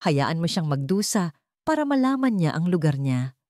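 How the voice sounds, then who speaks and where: A woman's voice: on the low side, very animated, normal speed, darkish tone, no echo, studio quality. A Filipino woman voicing a spiteful, haughty elderly mother-in-law in an audiobook drama, gloating and dismissive. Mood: smug spite.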